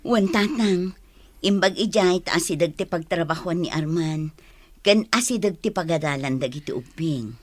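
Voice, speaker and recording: A woman's voice: fairly low pitch, moderate pace, no room sound, close to an everyday voice. A woman with an Ilocano Filipino accent playing a stern, practical village mother in a comedy drama. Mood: matter-of-fact.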